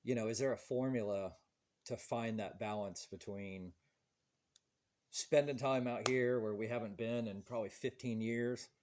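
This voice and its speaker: A man's voice: on the low side, steady tone, normal speed, a little mellow. A man in his forties hosting a podcast, chatting casually and telling stories in a rambling way. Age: 40s. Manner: rambling, storytelling with quoted voices. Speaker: podcast host. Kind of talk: casual chat.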